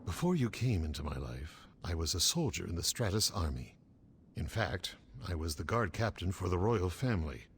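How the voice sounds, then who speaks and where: Deep-voiced solemn older guard captain. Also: male voice, big pitch swings, moderate pace, slight background noise. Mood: grave, earnest. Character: solemn older male former guard captain, protective father figure. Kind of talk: video game voice acting. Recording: music under the voice.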